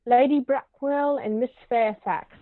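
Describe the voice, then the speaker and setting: A woman's voice: high voice, steady tone, measured pace, dry recording, phone-quality sound. A woman voicing a deferential, unflappable manservant, formal in manner, in a stage comedy table read over thin call audio. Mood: formal announcing.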